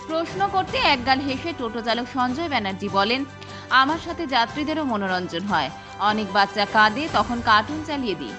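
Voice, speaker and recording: Female Indian Bengali news narrator, scripted feature read, very lively high voice. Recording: muffled lo-fi voice-over over music. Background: background music.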